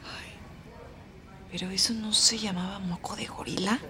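An actress playing a curious, troubled young flight attendant in an audio drama, often thinking aloud. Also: female voice, medium pitch, fairly even intonation, moderate pace, close to an everyday voice. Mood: pensive, uneasy. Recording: music underneath.

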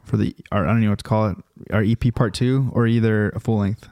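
A man's voice: on the low side, fairly even intonation, quick pace, mellow, dry recording. A young man chatting casually and informally as a podcast co-host, using plenty of slang. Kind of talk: casual chat.

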